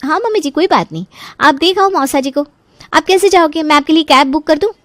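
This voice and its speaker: Woman acting as young daughter-in-law, high-pitched, bubbly, overconfident, playful, animated story, dry recording. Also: a little fast, no room sound. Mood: breezy, reassuring. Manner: playful, breezy, cheeky.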